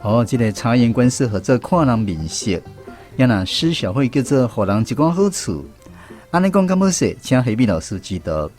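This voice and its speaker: A man's voice: medium pitch, big pitch swings, moderate pace, a little mellow. A man with a Taiwanese accent, speaking as a radio host leading a language quiz segment. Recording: music playing behind the voice. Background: instrumental music.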